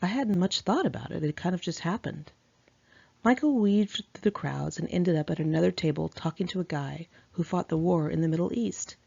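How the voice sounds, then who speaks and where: A woman's voice: lowish voice, normal speed, creaky, mellow, husky, no echo, lo-fi sound. A woman reads her own memoir aloud in an informal, candid manner, as an author narrating.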